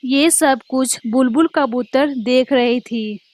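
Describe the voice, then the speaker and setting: Slow, high-voiced woman narrating. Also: a little mellow, dry recording. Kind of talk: scripted story narration for cartoon.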